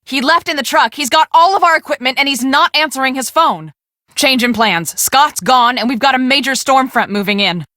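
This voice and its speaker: An actress playing a brisk, bossy young woman on a storm-chasing team in a video game, speaking emphatically and expressively. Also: high voice, sharp tone, dry recording, studio quality. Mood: stressed, urgent. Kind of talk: scripted video game dialogue.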